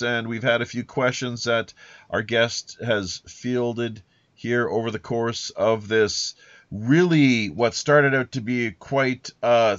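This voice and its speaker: A man's voice: medium pitch, dry recording, dull audio. A man hosting an unscripted podcast in a rambling, folksy way, with playful pirate lingo. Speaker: podcast host.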